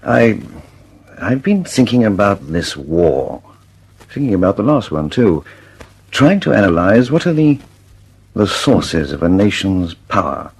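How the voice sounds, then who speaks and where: A man's voice: fairly low pitch, big pitch swings, unhurried, darkish tone, breathy edge, exaggerated voice. An actor playing an earnest, preoccupied aircraft designer in a mid-century radio drama, musing hesitantly and then pressing his point methodically. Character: earnest, preoccupied male British aircraft designer, thoughtful and dogged.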